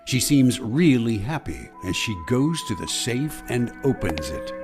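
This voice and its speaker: A man's voice: medium pitch, very animated, measured pace. Man as a knowing radio mystery narrator, brass behind. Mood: sardonic. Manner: knowing, insinuating. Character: sardonic, ominous mystery narrator addressing the characters. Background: loud brass music.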